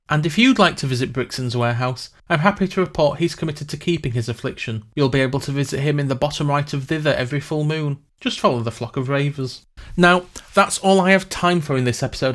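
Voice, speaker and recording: Male, slightly high voice, acting as a pompous wizard podcast host; wry, reluctant, self-satisfied, scripted fantasy comedy narration. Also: a bit bright, natural voice. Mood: breezy sign-off.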